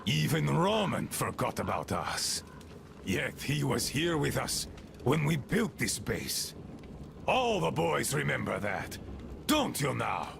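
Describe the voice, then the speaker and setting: An actor playing an embittered wounded outpost leader in a video game, ranting, scornful and mocking, with a gravelly voice. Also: male voice, mid-range voice, big pitch swings, slow, noisy background, heavily acted.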